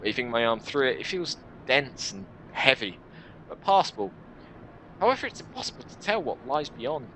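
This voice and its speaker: A man reading a game's story aloud in the first person, with an expressive storytelling delivery. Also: mid-range voice, moderate pace, slight background noise, poor audio. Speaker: video game let's player reading a visual novel aloud. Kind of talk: dramatic first-person narration of game text with casual asides.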